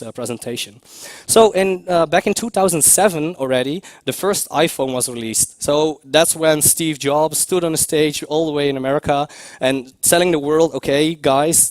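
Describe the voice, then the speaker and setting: A man giving a conference talk, a little hoarse from a cough, hesitant with many fillers and restarts. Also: a little high, dry recording. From Netherlands. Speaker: conference speaker. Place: lecture room at a tech conference.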